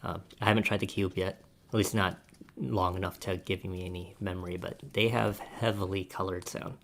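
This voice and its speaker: A man's voice: sits low, fairly even intonation, moderate pace, a bit bright. A man talking casually as a reviewer on a podcast panel about gear, often chuckling between points. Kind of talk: casual roundtable discussion.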